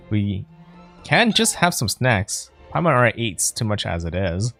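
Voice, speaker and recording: A woman's voice: extremely low pitch, very animated, a little slow, creaky, soft background music. A woman streaming a video game, giving casual commentary and reading some dialogue aloud.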